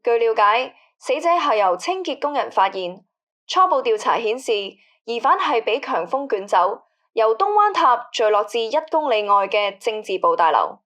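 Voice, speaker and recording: A composed female newsreader acted by a woman with a fairly high voice. She delivers a scripted bulletin in a formal, measured way, as in a radio drama. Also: big pitch swings, fairly bright tone. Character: composed female television news anchor reading a bulletin. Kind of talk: formal scripted news reading.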